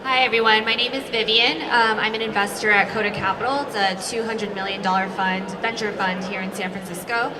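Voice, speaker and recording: A woman's voice: mid-range voice, steady tone, brisk, few pauses, background noise. A woman investor introducing herself and her fund's focus as a panelist. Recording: echoey hall, distant mic, crowd.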